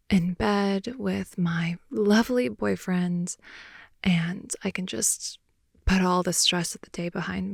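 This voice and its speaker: A woman improvising an intimate ASMR roleplay as a playful, affectionate girlfriend in bed, speaking softly, often in whispers and sighs, close to the microphone. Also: medium pitch, gravelly, no room sound. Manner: soft, often whispery, sighing, teasing. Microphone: close microphone, dry intimate sound.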